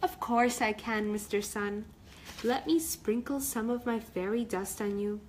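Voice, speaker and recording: A woman's voice: on the high side, a little slow, slight background noise, close to an everyday voice. A woman voicing a kind female sleep fairy in a children's storybook, cheerful and soothing. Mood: reassuring.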